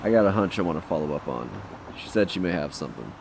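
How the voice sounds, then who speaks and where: A man's voice: deep voice, animated, fast, vocal fry, background noise. An actor playing a cynical, sarcastic male detective in an audio drama. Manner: sarcastic, crude remarks.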